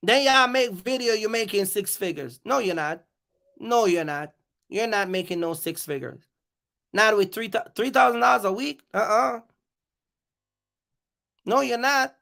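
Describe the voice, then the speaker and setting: A livestream host with a bright, forceful voice and a gravelly creak runs through an off-the-cuff rant. The delivery is emphatic and challenging, with phrases repeated to hammer each point. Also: mid-range voice, unhurried, pauses often. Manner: confrontational, challenging listeners. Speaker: live stream host.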